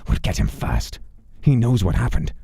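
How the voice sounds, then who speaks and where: A man's voice: low-pitched, very animated, normal speed, creaky, mellow, slightly rough, no echo, voice pushed up, heavily acted. A man voicing a stern, ruthless father who leads a clan, speaking curtly and dismissively in a told story.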